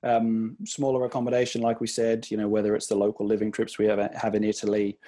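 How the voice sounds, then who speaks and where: A man with a lowish, husky voice speaks as a guest in a casual interview. He talks in a relaxed, easygoing way, full of "you know"s. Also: fast. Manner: relaxed, conversational, many fillers like "you know". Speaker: tour company guest.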